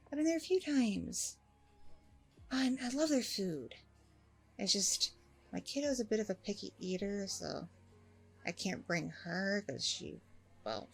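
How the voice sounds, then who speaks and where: A woman's voice: medium pitch, creaky, breathy edge, exaggerated voice, poor audio. A woman playing a friendly, flustered, flirty single mom on a first date in an ASMR roleplay, chatty, hesitant and gushing. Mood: flattered, delighted. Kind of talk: ASMR roleplay acting, one side of a dialogue.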